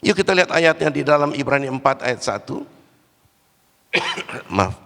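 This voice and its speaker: A man preaching a Pentecostal sermon while fighting a cold and cough, swinging between loud, raised exhortation and low, quiet asides. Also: animated, a little slow, gravelly. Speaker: guest preacher. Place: large church hall. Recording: church hall with long reverb.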